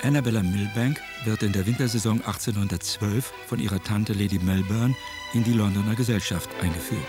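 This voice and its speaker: Low-voiced male narrator over music. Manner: factual, measured. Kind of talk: scripted documentary narration.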